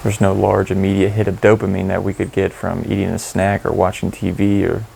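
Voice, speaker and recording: Guy doing guided meditation, really creaky voice, pretty even tone, informal and candid. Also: deep voice, brisk. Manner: informal, candid, gently instructive.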